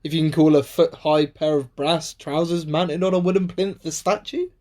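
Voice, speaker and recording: A woman's voice: deep voice, steady tone, moderate pace, darkish tone, natural voice. A woman acting a cocky, sceptical young adventurer with sarcastic banter in an amateur radio play. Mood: excited, curious. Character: cocky, sceptical, bold young adventurer who loves to mock.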